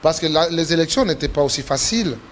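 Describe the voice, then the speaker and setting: A Congolese politician being interviewed, speaking French with a Congolese accent, forcefully repeating and stressing his points, his voice rising when indignant. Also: lively intonation, fast talker, a crowd in the background. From Democratic Republic of the Congo. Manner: emphatic, repeats himself, pitch jumps when indignant. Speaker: politician guest.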